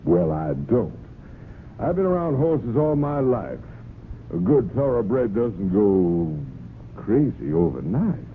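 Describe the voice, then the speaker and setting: Radio drama casting: folksy, pushy horse breeder, lowish voice with lively swings, on a tinny old broadcast. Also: male voice, slow pace. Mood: folksy, persuasive. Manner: hesitant openings, folksy, persuasive. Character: persistent, cocky horse farm owner, smooth-talking gambler. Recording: band-limited, noisy old radio broadcast.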